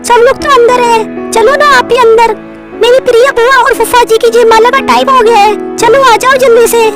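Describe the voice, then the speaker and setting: A woman's voice: very high-pitched, steady tone, moderate pace, over loud music. A woman voicing a chatty young female relative in a cartoon family story, with a familiar manner. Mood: eager.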